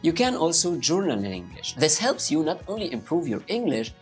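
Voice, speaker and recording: A man's voice: on the high side, a little fast, soft background music. A man from Egypt with an Egyptian Arabic accent, a native Arabic speaker, giving upbeat English-learning tips straight to his viewers. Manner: upbeat and animated, addressing viewers directly. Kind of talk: talking to camera, motivational tips.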